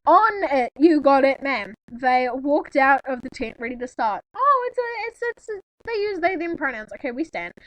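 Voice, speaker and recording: Giggly teen girl, really high voice, just chatting and making fun of stuff as she reads. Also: lively intonation, moderate pace, few pauses, a bit bright, dry recording, poor audio. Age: teens, thirteen. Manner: giggly, mocking asides. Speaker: co-reader on a call. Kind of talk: casual chat while reading fanfiction aloud.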